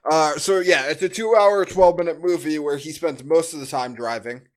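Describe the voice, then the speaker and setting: Higher-voiced man hosting a casual podcast. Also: moderate pace. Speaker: podcast host. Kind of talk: casual movie-review chat.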